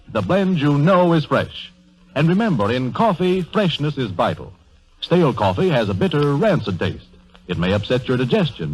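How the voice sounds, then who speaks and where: A man's voice: on the high side, breathy edge, poor audio. An American man announcing a scripted 1930s radio advertisement in an emphatic, salesmanlike way. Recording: vintage 1930s radio broadcast.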